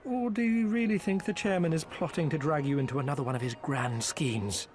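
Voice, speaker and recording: An actor playing a smooth-talking, foppish male merchant agent in a game cutscene, speaking in a theatrical, flowery, ingratiating way. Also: fairly high pitch, a little fast, darkish tone, close to an everyday voice. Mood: teasing. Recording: with background music.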